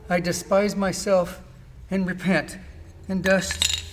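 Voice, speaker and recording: A man's voice: high voice, flat delivery, takes it slowly, background noise. A man playing a humbled, repentant believer in an amateur scripture drama, speaking earnestly and reverently. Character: Job, humbled repentant man addressing God.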